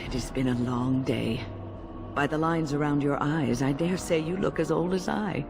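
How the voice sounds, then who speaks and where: An actress playing a shrewd, composed old woman in a fantasy game, creaky-voiced and dryly teasing. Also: deep voice, normal speed, music in the background. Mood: weary, wry. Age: older.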